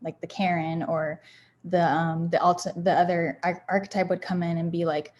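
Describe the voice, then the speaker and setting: A woman's voice: lowish voice, flat delivery, moderate pace, a little mellow, highs cut off, a little hiss or hum. A woman giving a casual motivational talk about affirmations, candid and rambling, with many fillers and restarts. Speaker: founder sharing self-help affirmations.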